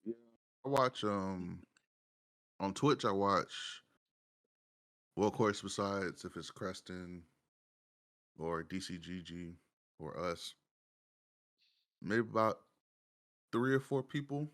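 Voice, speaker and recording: A man's voice: sits low, takes it slowly, long pauses, fairly bright tone, dry recording, clean studio sound. A man on a podcast panel talking casually, emphatic and repeating his phrases. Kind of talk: casual chat.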